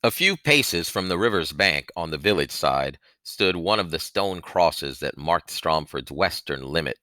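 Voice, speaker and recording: A man reading a novel aloud as expressive first-person narration, with deliberate, dramatic phrasing and emphatic stresses, close on a headset mic. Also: low voice, no echo. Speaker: audiobook reader, book-reading host.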